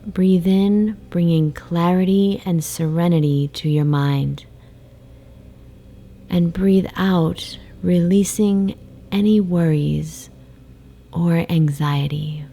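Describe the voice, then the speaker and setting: A woman guiding a sleep meditation in a soft, soothing, hypnotic way, with breathy phrase endings and long pauses. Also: fairly low pitch, fairly even intonation. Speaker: meditation guide. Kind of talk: guided sleep meditation, slow hypnotic narration. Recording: faint background noise, ocean waves. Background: ocean wave sounds.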